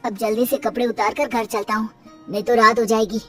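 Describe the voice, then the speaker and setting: A woman's voice: fairly high pitch, flat delivery, brisk, pushed and bright, breathy edge, recorded from a distance, soft background music. A woman voicing a weary, put-upon young washer boy in a cartoon, talking plaintively to himself. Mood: matter-of-fact.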